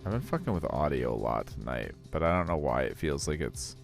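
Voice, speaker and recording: A laid-back man muttering casual asides between lines of a fan dub, swearing offhandedly as he tinkers with his setup. His voice is deep and gravelly. Faint game music drifts behind him. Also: big pitch swings, a little fast. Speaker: fan voice actor and streamer.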